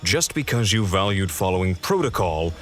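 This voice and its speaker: An actor playing a composed, principled elf patrol leader in a fantasy audio drama, speaking formally and with command. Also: male voice, low voice, expressive, moderate pace, a bit bright. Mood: firm, polite. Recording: music behind the voice.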